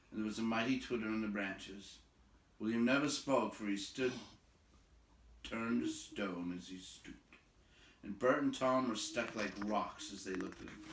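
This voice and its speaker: A man reading a fantasy story aloud as an expressive storyteller. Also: on the low side, reverberant.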